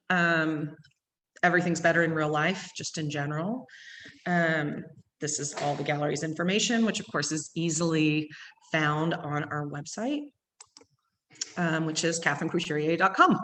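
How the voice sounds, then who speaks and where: Fast-talking East Texas woman, low voice. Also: fairly bright tone. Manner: fast-talking with many ums. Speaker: gallery owner. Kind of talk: virtual gallery tour talk. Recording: long hall reverb.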